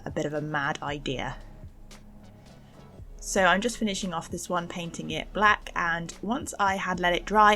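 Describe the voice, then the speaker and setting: A young woman narrates a craft video in a fairly low voice. She is chatty and informal, a little self-deprecating as she explains her steps. Soft music plays behind her. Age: young adult. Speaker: host of an art channel. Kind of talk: casual craft voice-over narration. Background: faint background music.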